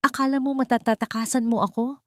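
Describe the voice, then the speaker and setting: A man's voice: extremely high pitch, fairly even intonation, quick pace, fairly bright tone, no room sound, clean studio sound, voice pushed up. A woman voicing a cruel husband, speaking with cold menace as in a dramatized audio story. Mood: menacing. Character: cruel, cold husband locking his wife away.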